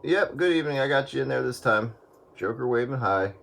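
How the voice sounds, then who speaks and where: A man's voice: mid-range voice, animated, fairly quick, gravelly. A man making casual chatter as an operator on a two-way radio. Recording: noisy two-way radio with heavy static.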